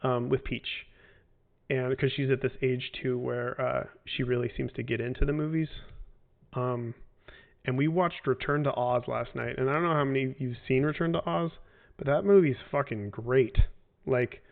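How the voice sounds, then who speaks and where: A man's voice: mid-range voice, steady tone, moderate pace, vocal fry. A man in his forties answering fan questions in a casual livestream, rambling and hesitant with lots of fillers and restarts. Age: 40s. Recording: tinny phone-like audio.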